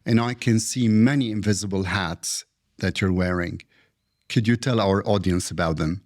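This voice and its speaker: A man hosting a podcast interview, reading a formal introduction and asking questions. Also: sits low. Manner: slow, deliberate delivery. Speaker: podcast host.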